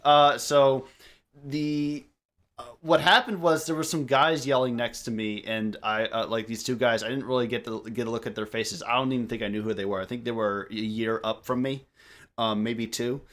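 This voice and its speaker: A young man chatting casually on a group podcast, telling stories in a halting, rambling way and often repeating his phrases. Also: mid-range voice, steady tone, a bit bright. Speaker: podcast member. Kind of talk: casual group chat storytelling.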